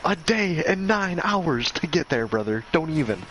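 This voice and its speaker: A young man from Michigan chatting excitedly in a voice chat, full of slang and quick to hype the other speaker up. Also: fairly high pitch, a little hiss or hum. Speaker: gamer in voice chat. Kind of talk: casual chat.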